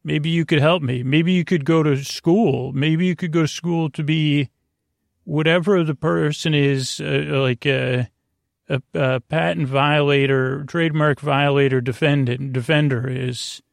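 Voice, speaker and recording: A man hosting a sleep podcast with a halting, meandering, mumbly delivery, rambling whimsically through a bedtime monologue. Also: fairly high pitch, steady tone, vocal fry, no room sound, clean studio sound. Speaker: podcast host.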